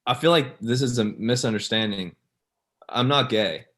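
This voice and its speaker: Man playing young rival dude, laid-back, unimpressed, mid pitch, video-call table read. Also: normal speed, fairly bright tone, lightly acted. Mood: unbothered. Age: young adult. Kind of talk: acted pilot table read, naturalistic. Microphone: computer video-call mic.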